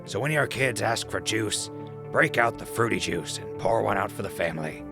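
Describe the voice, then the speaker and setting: A man's voice: lowish voice, expressive, moderate pace, gravelly, over music. A man playing a wry wasteland radio host and wanderer in an audio drama, talking conversationally. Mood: announcer pitch. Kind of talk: audio drama log and mock sponsor reads.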